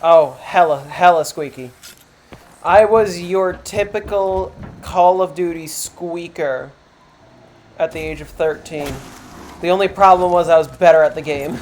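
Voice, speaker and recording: Young male streamer in his twenties, high tenor voice, loose rambling chat, clear background noise. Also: measured pace. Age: 20s. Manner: relaxed, rambling, thinks aloud. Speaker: live streamer. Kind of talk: casual chat while gaming.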